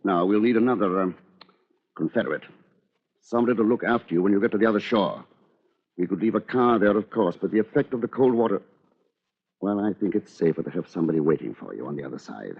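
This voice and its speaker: An actor playing a scheming, precise lawyer in an old radio drama, with coolly confiding delivery. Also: male voice, deep voice, expressive, quick pace, creaky, slightly rough, heavily acted. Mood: brisk, calculating. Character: scheming, coolly calculating male lawyer narrating his crime. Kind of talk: 1940s radio drama acting, first-person narration. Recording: dull, lo-fi old radio recording.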